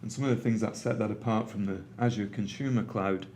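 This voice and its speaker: Middle-aged Mancunian man, lowish voice, relaxed presenter with lots of ums, faint room ambience. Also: fairly quick, a little hiss or hum. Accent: Manchester. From England. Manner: conversational, with frequent ums and uhs. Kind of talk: conference presentation. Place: meeting room with an audience.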